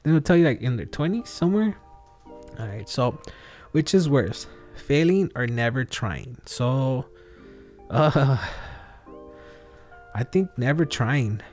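Male host, thirties, mid-pitched voice, solo reflective monologue that rambles and second-guesses itself, faint music underneath. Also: animated, slow pace, pauses often, a little mellow. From outside the US. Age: 30s. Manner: rambling, self-questioning, with frequent fillers and restarts. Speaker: podcast host.

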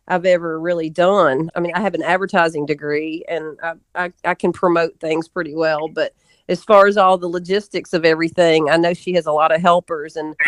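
A woman's voice: lowish voice, steady tone, a little fast, dry recording. Middle-aged female interview guest, earnest and conversational, repeats herself, close dry sound.

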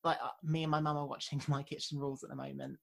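A woman's voice: sits low, little pitch movement, fast, vocal fry. A young woman, chatting casually and filling her speech with "like" and "um". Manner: chatty, heavy on "like" and "um". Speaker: podcast co-host. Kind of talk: casual chat.

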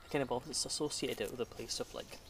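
A man's voice: mid-range voice, fairly even intonation, quick pace, a bit bright, recorded from a distance, a little hiss or hum. A Scottish man in his thirties chatting casually while gardening, laughing often and trailing off with fillers. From Scotland. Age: 30s. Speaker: podcast guest.

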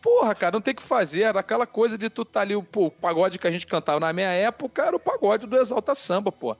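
A man with a Carioca accent, a podcast guest chatting in an animated, casual way and swearing often. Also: sits high, fast talker, dry recording. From Rio de Janeiro, Brazil. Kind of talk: casual chat.

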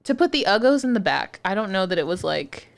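This is a young woman co-hosting a podcast and chatting casually. She sounds playful, laughs often and swears freely. Her voice sits at an ordinary middle pitch. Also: big pitch swings, fairly quick, creaky, a little hiss or hum. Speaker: podcast co-host. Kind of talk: casual chat.

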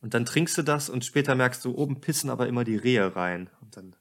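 A man's voice: medium pitch, lively intonation, a little fast. A man hosting a casual podcast from his apartment, joking and chatting to listeners, his voice a little tired from a long day of talking. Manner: jokey, chatty, addresses listeners. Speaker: podcast host. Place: home apartment.

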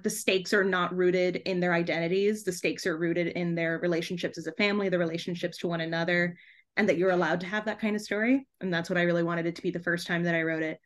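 A woman chatting casually and effusively as an interview guest on a podcast, in a giggly, informal way. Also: lowish voice. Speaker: guest author and podcast host.

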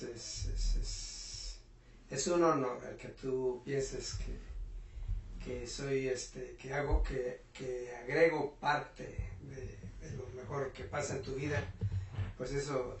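Earnest Mexican man, flat and distant. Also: medium pitch, slow pace, vocal fry, slight background noise. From Puebla, Mexico. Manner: earnest, hesitant with many fillers. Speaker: interview guest, business owner. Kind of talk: reflective motivational talk. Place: home. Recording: roomy echo, voice from a distance.

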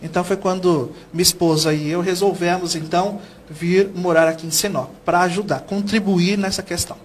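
Man, Brazilian accent, high voice, earnest explainer, interview guest, faint background noise. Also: fairly even intonation, a little fast. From São Paulo state, Brazil. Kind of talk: conversational TV interview answers.

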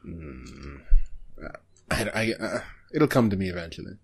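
A man co-hosting a podcast in casual chat, halting and often repeating short phrases. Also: low voice, very animated, vocal fry, slightly rough. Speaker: podcast co-host.

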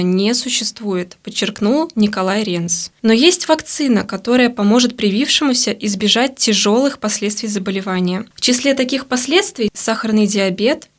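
A woman reporter delivering a scripted radio news report. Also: medium pitch.

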